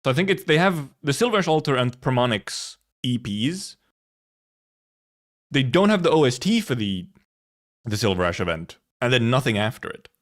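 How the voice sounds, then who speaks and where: A man live streaming in casual chat, rambling and haltingly thinking aloud, with some offhand swearing. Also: mid-range voice, very animated. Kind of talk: casual chat, reacting to viewers.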